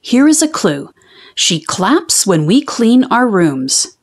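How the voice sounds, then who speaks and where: A woman's voice: fairly high pitch, big pitch swings, slow, husky, full character voice. A woman voicing a playful little girl in a children's storybook, with an eager, singsong delivery. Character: bright, playful little girl playing a guessing game.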